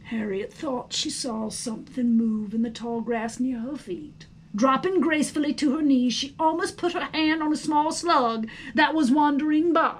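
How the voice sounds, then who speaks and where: A woman reading a storybook aloud in an expressive, theatrical, emphatic narration. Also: high-pitched, poor audio. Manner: theatrical, emphatic, deliberate phrasing. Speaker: storybook reader. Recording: faint background noise.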